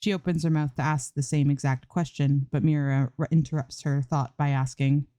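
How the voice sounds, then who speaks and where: A woman's voice: low-pitched, fairly even intonation, normal speed, warm tone, no echo, studio quality. A woman reading fan fiction aloud as an amateur narrator, in scripted storytelling style.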